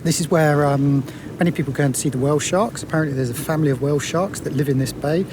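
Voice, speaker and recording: Laid-back man with lightish voice, noisy boat. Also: quick pace. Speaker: sailing vlog host. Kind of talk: casual explanatory vlog commentary. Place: outdoors on a sailboat. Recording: noisy outdoor boat recording, engine rumble.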